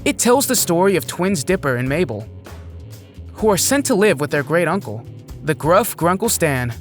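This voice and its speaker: A man's voice: fairly high pitch, sing-song, normal speed. A man reading scripted narration for a list video, presenting facts and putting questions to the viewer. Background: music.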